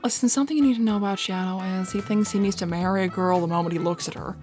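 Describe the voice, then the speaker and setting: A woman's voice: mid-range voice, fairly even intonation, rapid-fire, a little mellow, soft background music, lightly acted. A woman voicing a sly, flirtatious, confident female bat thief in a fan cartoon dub, with a teasing, casual drawl. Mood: amused.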